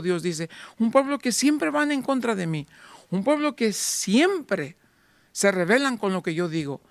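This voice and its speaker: Man, pastor, sermon, high voice, dry recording, rhetorical questions. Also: no room sound. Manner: rhetorical questions, voicing doubting listeners.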